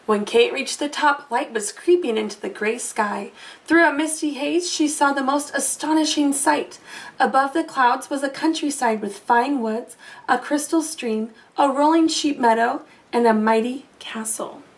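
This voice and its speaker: A woman reading a storybook aloud expressively for children, pausing to put questions to listeners. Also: high-pitched, far from the mic. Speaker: storybook reader.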